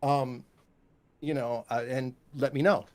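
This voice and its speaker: Male composer guest, medium pitch, casual storyteller who quotes others as he goes. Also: measured pace. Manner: animated anecdotes, quotes others and sings musical examples. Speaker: guest, composer and music teacher.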